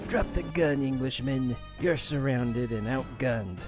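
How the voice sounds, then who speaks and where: A man's voice: mid-range voice, unhurried, over music, lightly acted. A man playing a menacing armed henchman in an amateur audio drama. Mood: threatening.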